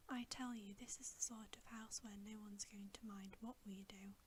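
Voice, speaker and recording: A woman reading a bedtime story in ASMR style, in a hushed, gentle, unhurried whisper. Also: medium pitch, fairly even intonation, a little hiss or hum. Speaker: ASMR reader.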